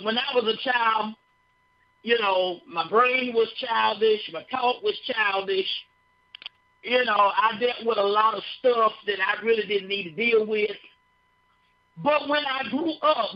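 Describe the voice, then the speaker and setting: A woman giving a conversational sermon on a broadcast, folksy and full of repeated phrases, often checking in with listeners. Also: fairly high pitch. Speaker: radio speaker on behavior. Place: radio studio. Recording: dull lo-fi broadcast sound.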